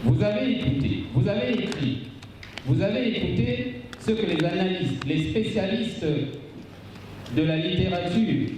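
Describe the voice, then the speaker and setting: A man's voice: sits high, noisy background. A man from Congo with a Congolese French accent, moderating a book launch with long, ornate questions. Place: hall with a seated audience. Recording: roomy echo from far off.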